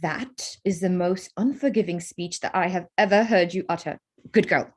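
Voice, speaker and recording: A woman playing a witty, teasing young gentlewoman in a period drama reading, wry and measured. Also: lowish voice, a little hiss or hum, lightly acted. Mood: playful.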